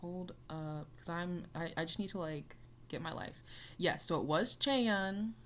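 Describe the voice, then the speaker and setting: A woman's voice: fairly low pitch, measured pace, a little hiss or hum. A young woman chatting casually as she reacts to a music video, rambling with lots of fillers. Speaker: reaction YouTuber.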